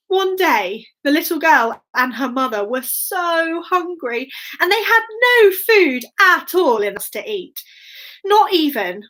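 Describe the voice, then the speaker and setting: A woman telling an interactive bedtime story to young children, expressive and encouraging, with sing-song phrasing and dramatic pauses. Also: high voice.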